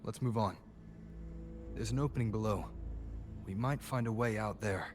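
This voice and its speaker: A man playing an earnest, thoughtful young adventurer in a video game, measured and articulate as he reasons aloud. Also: on the low side, noisy background, lightly acted. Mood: pondering. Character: earnest, thoughtful young male adventurer piecing clues together. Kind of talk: video game voice acting, story dialogue. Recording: echoey hall reverb, music behind. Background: game music.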